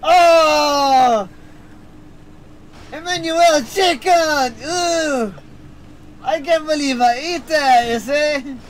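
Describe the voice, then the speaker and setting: A man voices a hapless, dim-witted man who keeps getting stabbed, whining in a put-on Mexican accent. He speaks very high for a man and drags out his words slowly. The protests come out plaintive rather than angry. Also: slight background noise, heavily acted, rough recording. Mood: whiny. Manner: whiny protests, slow drawl. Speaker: co-player. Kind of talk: improvised comedy over gameplay.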